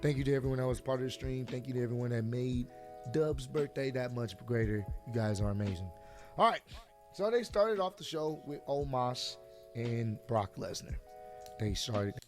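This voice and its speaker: A man reviews a wrestling show in a loose, chatty way, calling out to his viewers. His voice is hoarse and a bit sore. He speaks at an ordinary middle pitch. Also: animated, moderate pace, a little mellow, soft background music. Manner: chatty, addresses viewers directly. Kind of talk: casual video review.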